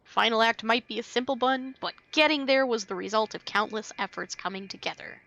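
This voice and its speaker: A woman's voice: fairly high pitch, fairly quick, creaky, fairly bright tone, highs cut off, slight background noise. A woman live streamer reading game dialogue aloud on stream. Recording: game music behind.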